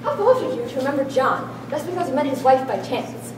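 A woman's voice: high voice, big pitch swings, quick pace. A young woman in an amateur stage play, playing a wife's poised, calculating social mask with suave, formal diction. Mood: haughty, cool. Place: large school hall with small audience. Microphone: far camera. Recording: echoey hall, distant camera, room noise.

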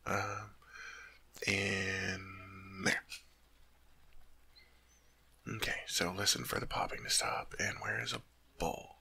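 A man's voice: lowish voice, little pitch movement, takes it slowly, creaky, pauses often, sharp tone, breathy edge, no room sound, exaggerated voice. A man playing a sweet, naive teddy bear turned human in an ASMR roleplay, chatting earnestly to the listener as he puzzles over human things. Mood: puzzled, muttering.

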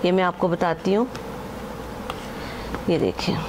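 A woman's voice: fairly low pitch, a little slow, noisy background. A woman, explaining a home recipe step by step in a chatty way and repeatedly pointing things out to the viewer. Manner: chatty, repeats "acha ji" and "ye dekhen", mixes English measures into Hindi-Urdu. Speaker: home cooking channel host. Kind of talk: casual step-by-step cooking instruction.

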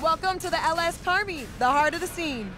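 A woman's voice: extremely high pitch, brisk, over music. An actress playing a sassy, self-assured young American woman who runs a car meet in a video game, slangy and matter-of-fact. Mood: sassy welcome. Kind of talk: video game cutscene acting.

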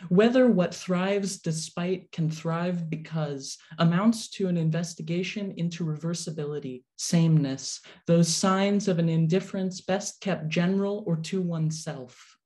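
A woman poet reading poetry in a measured, deliberate manner over a video call. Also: fairly low pitch, warm tone. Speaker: poet reading own work. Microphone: video call microphone.

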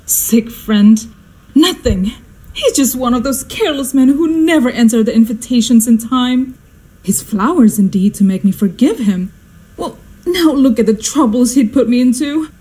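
An actress playing a fussy, imperious, status-hungry society mother in a radio drama, theatrical and exclamatory, with a husky voice. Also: a little high, moderate pace, mellow, lightly acted, low-quality recording. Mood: exasperated.

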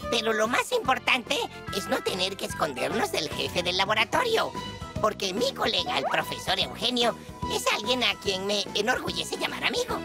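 A woman's voice: medium pitch, huge pitch swings, normal speed, creaky, loud music behind the voice. A woman voicing an old male teacher figure in a dubbed cartoon, fussy and teacherly. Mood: explaining. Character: kindly old male tiny robot teacher. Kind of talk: cartoon dub.

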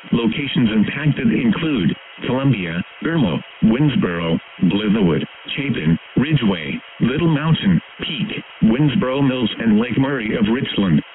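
A synthetic-sounding man reads a formal, scripted emergency weather bulletin in a measured, impersonal manner. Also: mid-range voice. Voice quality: synthetic text-to-speech sound. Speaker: weather alert announcer. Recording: tinny narrowband weather radio broadcast.

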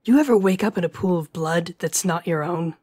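A woman plays a brooding young werewolf woman, stubborn and heavy with guilt. Her voice is fairly low and husky, and she talks in terse, weary bursts, as in a dramatic audio roleplay. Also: brisk, a little mellow, dry recording, studio quality. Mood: tense, guilty.

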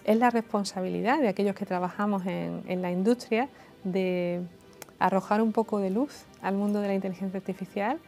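A woman, explaining technology clearly in an interview. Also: medium pitch, steady tone, brisk, a little mellow, faint music behind. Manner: articulate, explanatory. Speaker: tech expert. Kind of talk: interview answers.

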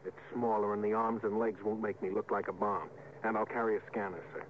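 A man's voice: on the low side, level tone, brisk, lightly acted. An eager male junior spaceship officer, played by an actor in old radio drama. He sounds earnest and deferential, with urgency. The recording is tinny and narrow, with a faint hiss. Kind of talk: 1950s radio drama acting.

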